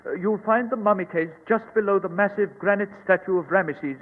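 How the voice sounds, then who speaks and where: A man's voice: sits high, a little fast. An actor playing a formal, earnest and officious male museum director in an old-time radio drama. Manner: precise, emphatic, formal. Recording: muffled, hissy old radio, reverberant.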